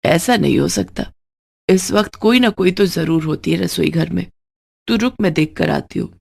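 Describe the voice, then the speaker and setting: A woman's voice: fairly low pitch, moderate pace, vocal fry, a little mellow, husky, exaggerated voice. A woman voicing a middle-aged mother-in-law, stern and exasperated, in a scolding manner for a moral story. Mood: hospitable.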